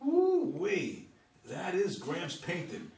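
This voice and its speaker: A man's voice: high voice, wildly swooping pitch, takes it slowly, darkish tone, full character voice. Man acting a stammering folksy young painter, roomy sound. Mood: excited. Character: excitable, folksy young male painter, fond of his grandfather. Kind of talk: staged script reading. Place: meeting room, seated at a long table. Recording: roomy, distant camera mic, faint noise.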